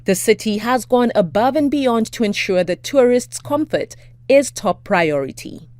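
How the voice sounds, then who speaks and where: A woman reporter narrating a scripted news feature in a lively, animated way. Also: on the high side, a little slow, no room sound. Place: outdoors in a snowy city.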